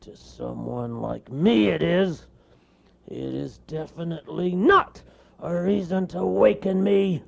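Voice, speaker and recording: Man putting on a bear, male, grumpy, bossy, loud, sneering, high strained voice. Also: takes it slowly, vocal fry, highs cut off, heavily acted. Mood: angry boastful. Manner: shouting, sneering, angry.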